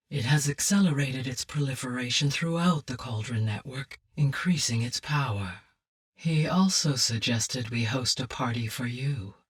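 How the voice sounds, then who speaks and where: A woman voicing a calm, caring, highly intelligent female artificial intelligence in a video game, with formal, precise, measured phrasing and a low, husky voice. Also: recorded from a distance. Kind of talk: measured, scripted game dialogue.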